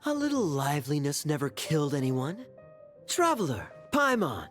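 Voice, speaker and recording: Suave young knight, sly, teasing, lively intonation, wry delivery, game dialogue, light music. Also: male voice, fairly high pitch, normal speed. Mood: playful. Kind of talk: anime game voice acting.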